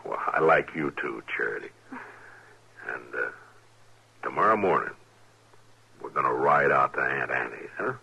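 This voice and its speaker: Very deep, gravelly frontier marshal. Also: male voice, a little slow, long pauses, pushed and bright, full character voice, rough recording. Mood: gentle, weary. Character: gruff, tender, weary frontier marshal. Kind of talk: old-time radio western acting.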